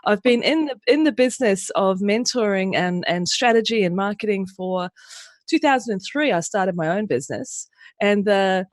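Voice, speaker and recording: A woman's voice: mid-range voice, lively intonation, moderate pace, dry recording. Fifties woman from New Zealand, earnest interview guest, dry voice. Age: 50s. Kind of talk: radio interview.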